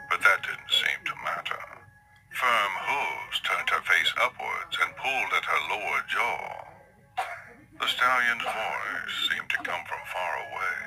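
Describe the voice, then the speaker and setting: A man narrating a horror story as a dramatic, theatrical scripted reading, at times with hushed emphasis. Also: deep voice, poor audio.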